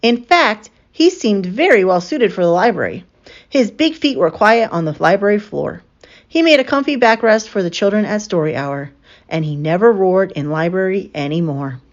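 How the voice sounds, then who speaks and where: A woman reading a picture book aloud as an expressive storyteller for children. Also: mid-range voice, normal speed. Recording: slightly dull, lo-fi sound.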